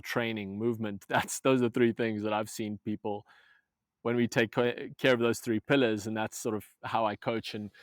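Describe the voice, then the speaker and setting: A man's voice: on the low side, dry recording, studio quality. A man from Zambia, a movement coach, talking earnestly in a casual podcast conversation, with many restarts.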